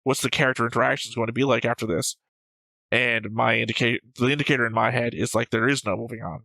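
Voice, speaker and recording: Man, reaction show host, creaky voice, close dry sound, rambling, sweary, casual discussion. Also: mid-range voice, fairly even intonation, quick pace, pushed and bright, dry recording, low-quality recording. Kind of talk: casual discussion of an animated series.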